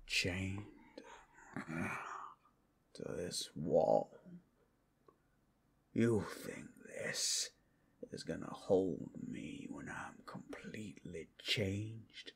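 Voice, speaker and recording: A man playing a cursed, menacing captive werewolf in an ASMR roleplay, with a gravelly, husky voice that often breaks into whispers. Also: deep voice, full character voice. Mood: desperate, strained. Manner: slow, dramatic, swinging between whispers and outbursts. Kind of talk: ASMR audio roleplay acting.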